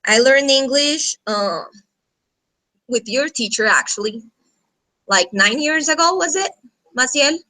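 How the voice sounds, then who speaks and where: A woman's voice: high voice, expressive, unhurried, pauses often, pushed and bright, low-quality recording. A woman in her thirties from Colombia, a native Spanish speaker with a Colombian accent, informally introducing herself as a guest teacher on a video call. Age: 30s. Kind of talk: informal self-introduction to a class.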